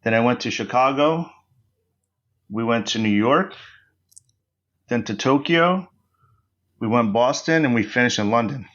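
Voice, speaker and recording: Brief: American guest, mid-low voice, sincere and steady, slightly muffled call audio. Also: male voice, unhurried, pauses often. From United States. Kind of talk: casual interview talk.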